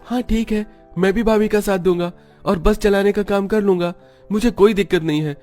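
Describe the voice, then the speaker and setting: A willing, respectful young cartoon man fresh from his studies, voiced by a male narrator. He speaks in a raised, youthful pitch over soft music. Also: fairly even intonation, normal speed, lightly acted. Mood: agreeable.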